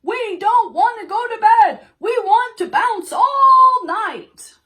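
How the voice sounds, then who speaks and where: A woman's voice: extremely high pitch, expressive, slow pace, pushed and bright, voice pushed up, exaggerated voice. A woman voicing playful, rowdy little monsters from a children's storybook in an exuberant singsong. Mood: defiant.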